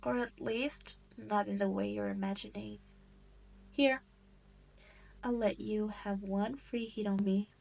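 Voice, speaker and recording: A woman's voice: medium pitch, steady tone, takes it slowly, gravelly, pauses often, darkish tone. A woman playing a cruel, seductive demon queen in an audio roleplay, taunting and condescending as she toys with her prey. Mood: amused, taunting. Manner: taunting, haughty, condescending, teasing. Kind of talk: scripted roleplay audio drama monologue.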